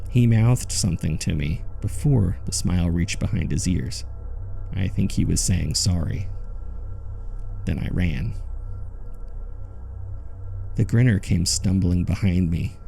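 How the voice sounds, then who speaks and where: A grave, haunted man tells his horror story in a low, dark voice. Heavy creak runs through his somber, measured narration. The delivery is suspenseful, in the manner of a scripted audio drama. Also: faint music behind, heavily acted. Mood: somber, fearful.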